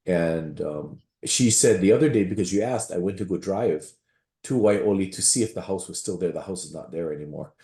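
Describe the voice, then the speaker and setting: A man's voice: low voice, fairly quick, warm tone, reverberant. A man from Waianae, a pastor giving a rambling public comment with many restarts at a meeting. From Waianae, Hawaii.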